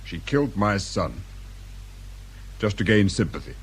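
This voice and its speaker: A man's voice: lowish voice, sing-song, a little slow, a little hiss or hum. A man playing an edgy, defensive, short-tempered husband and father with a British accent in a radio crime drama, speaking abruptly. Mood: shocked, bitter.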